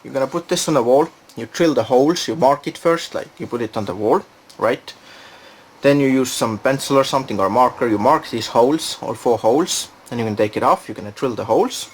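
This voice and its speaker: A man's voice: medium pitch, fairly quick, slight background noise. A man giving a casual, unscripted product demonstration, thinking aloud and hesitating as he goes. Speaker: product reviewer.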